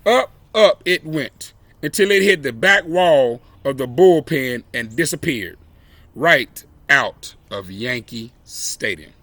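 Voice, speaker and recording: Male storyteller for children's picture book: unhurried, expressive and dramatic, crisp bright tone, dry recording. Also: medium pitch, dry recording. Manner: dramatic, deliberate phrasing with exclamations acted out.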